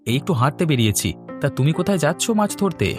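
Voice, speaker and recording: A man's voice: on the high side, sing-song, fast talker, music in the background. A man voicing a mild, inquisitive village man in a cartoon, with a questioning, conversational manner. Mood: chatty. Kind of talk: cartoon voice acting.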